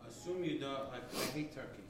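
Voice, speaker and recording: A man in a class asking the teacher a halting question, with many fillers and restarts. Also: mid-range voice, reverberant, crowd noise. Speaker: student in a class. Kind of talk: question from the audience.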